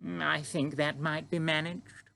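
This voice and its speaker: A man voicing a composed, dignified queen who speaks in a prim, measured way, read aloud from a storybook. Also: a little high, flat delivery, vocal fry, bright, forceful tone, pitched above the performer's own voice, heavily acted. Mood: regal.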